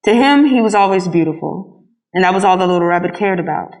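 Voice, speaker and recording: A woman reading a children's story aloud as a scripted narration, in an expressive storytelling manner. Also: lowish voice, fast, rough recording. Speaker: storybook reader.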